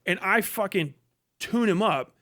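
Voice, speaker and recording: A man's voice: high voice, lively intonation, a little slow, sharp tone. A man ranting and telling stories casually on a live stream, blunt and swearing freely. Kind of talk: casual, profane ranting and storytelling.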